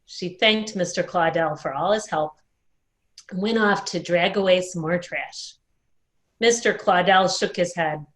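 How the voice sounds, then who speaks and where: A woman telling a children's story in an expressive read-aloud style, recorded at home in a dining room. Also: lowish voice, a little slow. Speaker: storyteller at a worship service.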